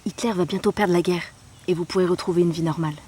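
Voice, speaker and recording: A woman playing a calm, reassuring young villager in an audio drama. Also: lowish voice, fast, breathy edge. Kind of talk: French audio drama acting.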